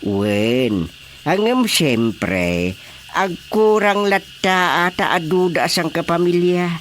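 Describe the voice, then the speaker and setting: A man acting an excitable village chairman in a radio drama comedy, exclaiming as he deals with residents. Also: high voice, slow pace, a little hiss or hum, exaggerated voice. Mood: defensive. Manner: exclamatory, animated.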